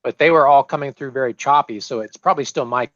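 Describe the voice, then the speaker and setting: A man's voice: mid-range voice, fast, a bit bright, no room sound. A man, new to the group, chatting casually and easygoingly on a video call. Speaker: new member. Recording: dull, dry video call audio.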